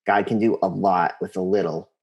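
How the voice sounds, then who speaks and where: A man's voice: low voice, steady tone, moderate pace, darkish tone. An American man in his forties speaking casually as an interview guest, thinking aloud and repeating phrases for emphasis.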